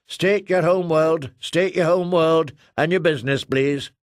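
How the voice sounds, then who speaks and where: A man putting on a stern woman at a control console, pitched well above his own voice and pushed louder. She delivers clipped, repetitive orders in a dry, close audiobook sound. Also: measured pace, dry recording, close to an everyday voice. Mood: officious. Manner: clipped, repetitive official demands. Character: stern female planetary traffic controller, officious.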